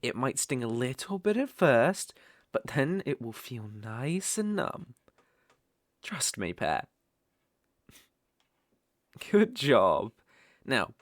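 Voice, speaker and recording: A British man voicing a possessive, smug dark elf master in an intimate ASMR roleplay, teasing and condescending as he coaxes. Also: medium pitch, sing-song, slow, long pauses, no room sound, clean studio sound, heavily acted. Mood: gently commanding. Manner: teasing, condescending, coaxing, with soft chuckles.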